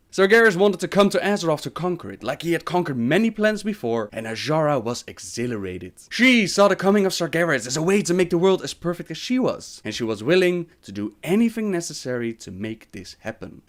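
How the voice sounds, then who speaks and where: Male storyteller for scripted game-lore video; slightly high pitch, very varied melody, emphatic openings, falling endings. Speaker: video narrator and host.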